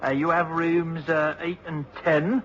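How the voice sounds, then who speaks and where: An actor playing a friendly, obliging innkeeper with a Cornish accent and a folksy, chatty way of talking in a radio drama. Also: male voice, a little high, flat delivery, a little slow, fairly bright tone. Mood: welcoming. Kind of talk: mid-century radio drama acting. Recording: dull old radio recording.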